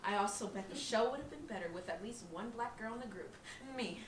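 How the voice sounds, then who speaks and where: A woman's voice: mid-range voice, lively intonation, brisk, natural voice. A young woman playing a sarcastic, dramatic aspiring designer in a comedic play, with a theatrical, teasing delivery. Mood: self-assured. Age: young adult. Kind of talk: comedic stage acting. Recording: echoey room, distant mic, background hiss.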